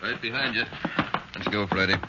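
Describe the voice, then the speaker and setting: Actor as curt police chief, noisy recording. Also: male voice, lowish voice, fairly quick, lightly acted. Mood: urgent, grim. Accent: American. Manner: curt, authoritative. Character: gruff, commanding male police chief of detectives. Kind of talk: 1940s-50s radio crime drama acting. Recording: dull, very noisy old radio recording.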